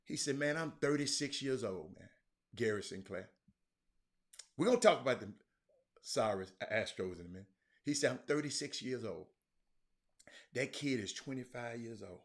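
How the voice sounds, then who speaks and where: A man's voice: mid-range voice, expressive, moderate pace, long pauses. An American man hosting a casual sports livestream, chatting to viewers in a folksy way and repeating phrases for emphasis. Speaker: sports talk show host.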